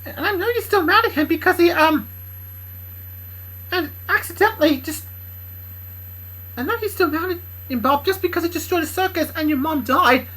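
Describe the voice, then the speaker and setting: A woman voicing a bossy young woman who worries over others and snaps into sharp scolding. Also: extremely high pitch, moderate pace, long pauses, voice pushed up, low-quality recording. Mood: worried. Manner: pleading, then sharp and shouty. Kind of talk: improvised fan story voicing.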